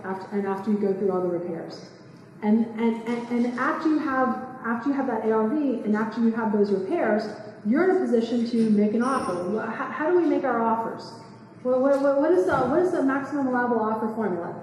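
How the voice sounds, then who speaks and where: A woman, giving a quick, chatty seminar talk and asking the room questions. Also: a little high, heavy reverb, big-room sound, far from the mic, rough recording. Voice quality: soft voice. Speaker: real estate investing speaker. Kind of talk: live seminar talk to a small audience. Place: meeting room, indoors. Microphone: far camera.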